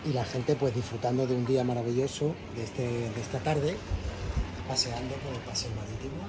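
A man's voice: mid-range voice, steady tone, a little fast, noisy background. Spanish man giving an echoey house tour. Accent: Spanish from Spain. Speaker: vlogger presenting his home. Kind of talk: casual house tour narration. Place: indoor apartment rooms and balcony. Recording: echoey rooms, music underneath. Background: background music.

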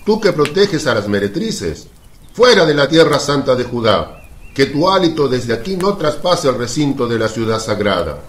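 Self-righteous Pharisee played by a man, radio drama, lively intonation, pompous and denouncing. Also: mid-range voice, normal speed, slight background noise, close to an everyday voice. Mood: scornful accusing. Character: hostile, self-righteous male Pharisee accusing with scorn. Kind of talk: Spanish radio drama acting.

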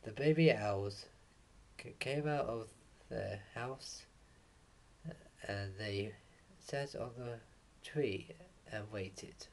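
A young man reading a children's picture book aloud in a halting way, stumbling over words now and then. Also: lowish voice, a little hiss or hum. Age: young adult. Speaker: bedtime story reader. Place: small room at home, indoors. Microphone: webcam or laptop mic at desk distance.

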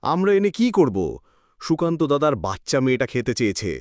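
A man's voice: on the high side, sing-song, a little fast, darkish tone, no room sound, studio quality. An actor playing a principled, kind-hearted village fisherman husband in a cartoon. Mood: earnest. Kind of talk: cartoon voice acting.

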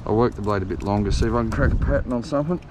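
A man's voice: lowish voice, very fast. A middle-aged Australian man talking casually to himself while fishing from a windy shoreline. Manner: chatty, muttering to himself. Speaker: fishing vlogger. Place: outdoors on a windy harbour shore. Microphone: body-worn action camera. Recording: wind-buffeted, slightly dull camera mic.

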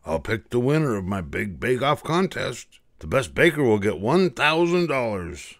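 A man's voice: medium pitch, big pitch swings, normal speed, voice pushed up. An older man voicing a showy TV chef who announces like a showman.

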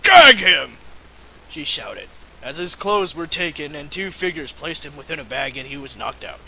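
A man's voice: a little high, lively intonation, moderate pace, creaky, sharp tone, a little hiss or hum, low-quality recording. A man giving an amateur, mock-dramatic reading of fan fiction with casual asides.

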